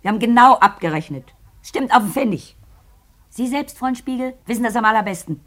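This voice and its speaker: A woman's voice: fairly high pitch, expressive, a little fast, a bit bright. A woman playing a stern, impatient boss with a sarcastic, questioning manner in a German radio drama. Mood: demanding, indignant. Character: stern, impatient businesswoman interrogating her employee.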